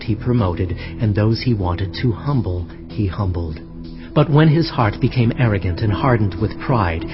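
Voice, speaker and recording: Grave prophet over clear music, acted by a man. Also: fairly low pitch, close to an everyday voice. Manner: grave, deliberate, declamatory. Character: solemn prophet rebuking a proud king. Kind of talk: dramatized scripture reading. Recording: muffled sound with music underneath.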